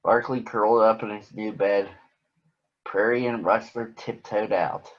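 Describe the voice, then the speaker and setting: A man's voice: on the low side, flat delivery, unhurried. Man reading to children, dull recording. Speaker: children's story reader. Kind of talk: picture book read-aloud for children.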